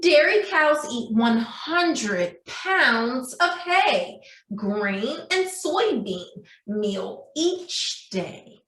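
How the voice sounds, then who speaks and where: A woman's voice: high voice, big pitch swings, extremely slow, a bit bright. A woman preschool teacher reading aloud and leading a craft lesson in a sing-song way, asking young children questions. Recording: roomy, echoey indoor sound.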